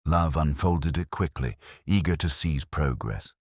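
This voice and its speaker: A man narrating a scripted mystery story in a measured, literary way, with a husky voice. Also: very deep voice, lively intonation, no room sound, clean studio sound.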